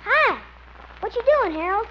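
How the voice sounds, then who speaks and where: A muffled old radio comedy recording. a cheeky, needling young boy with a very high, sing-song voice that slides around mischievously. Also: animated, normal speed, crowd noise. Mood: cheeky, innocent. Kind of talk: 1950s radio comedy acting.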